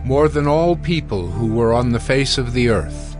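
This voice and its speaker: A man narrating scripture from a script in a measured, solemn manner. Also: medium pitch, expressive. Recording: music bed under the voice.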